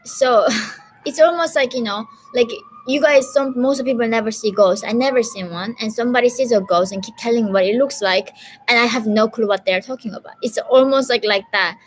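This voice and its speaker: A woman's voice: on the high side, fairly quick, soft background music, rough recording. A woman from North Korea with a Korean accent, answering viewer questions in an earnest, casual live stream with lots of fillers. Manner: earnest, talkative, many fillers and restarts.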